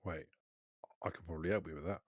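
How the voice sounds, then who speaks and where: Low-voiced man playing a tabletop game. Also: steady tone, fast, dry recording, studio quality. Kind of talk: casual in-character table talk.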